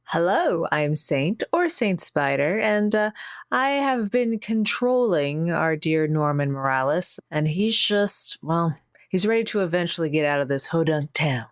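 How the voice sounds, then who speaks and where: A woman's voice: lowish voice, expressive, measured pace, no echo, clean studio sound. A woman introducing herself and chatting casually as a player on a tabletop game podcast. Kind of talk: casual chat.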